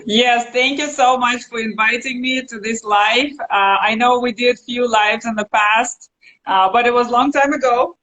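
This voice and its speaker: A woman's voice: fairly high pitch, steady tone, a little slow, fairly bright tone, low-quality recording. A middle-aged woman from Russia with a Russian accent, a native Russian speaker, chatting casually as an interview guest who teaches English.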